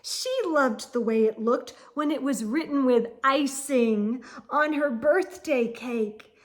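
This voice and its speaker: A woman reads a picture book aloud for children. Her voice is high, and her pace is very slow and deliberate. She delivers the story theatrically, lingering on key words. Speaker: storytime reader.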